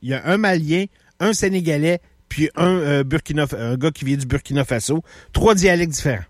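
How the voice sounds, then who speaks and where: A Québécois man hosting a radio show, his voice sitting a little high. He tells stories in animated, quick bursts. The studio sound is dry and close. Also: no room sound. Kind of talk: casual chat.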